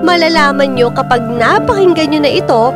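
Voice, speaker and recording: An actress narrating in the first person as a woman in her thirties from Cavite, telling her story in a measured, confessional way. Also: high-pitched, animated, over loud music. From Cavite, Philippines. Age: 30s. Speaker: radio drama narrator. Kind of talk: scripted narration.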